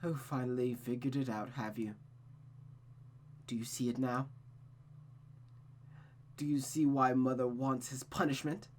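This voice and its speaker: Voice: medium pitch, steady tone, slight background noise, lightly acted. A performer voicing a possessive, controlling mother spirit in a visual novel, commanding and dramatic, with music behind. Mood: smug, insistent. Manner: commanding, dramatic, drawn-out outbursts.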